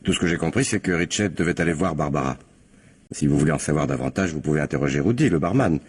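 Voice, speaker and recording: An actor playing an aloof, sardonic film assistant under questioning in a French radio crime drama, guarded and dryly disdainful. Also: male voice, very deep voice, animated, quick pace, slightly rough, full character voice. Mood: hesitant, guarded. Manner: hesitant recall, dry disdain.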